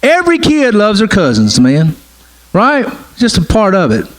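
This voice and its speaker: Man, middle-aged, high voice, casual sermon, repeats phrases, says "man" a lot. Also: wildly swooping pitch, unhurried, rough recording. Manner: conversational preaching, repeats phrases, frequent "man" and "right?". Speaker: pastor.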